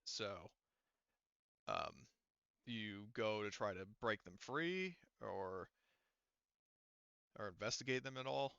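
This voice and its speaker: A man narrating a tabletop game as game master, casually and haltingly, with informal, sweary phrasing. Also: fairly low pitch, lively intonation, vocal fry, bright, forceful tone, clear, resonant tone, dry recording, lo-fi sound.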